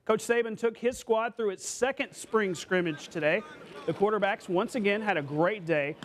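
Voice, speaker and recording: A man working as a TV sports anchor, narrating scripted highlights in an energetic, playful way. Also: a little hiss or hum. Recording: music bed behind the voice.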